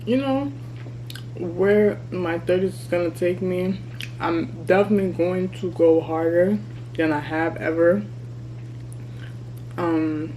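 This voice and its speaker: A woman in her thirties talking candidly to her vlog camera while she eats, chatty and relaxed. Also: on the low side, a little hiss or hum, poor audio. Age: 30s. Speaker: vlogger. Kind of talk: casual mukbang vlog chat. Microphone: camera mic.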